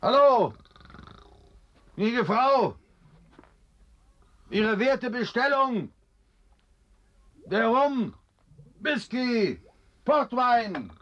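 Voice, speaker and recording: A shrill caller in a radio play, extremely high and swooping. He shouts in short, slowly stretched bursts. The recording is dull and noisy. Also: sing-song, heavily acted. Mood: shrill calling. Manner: calling out in short, drawn bursts. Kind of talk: radio drama acting.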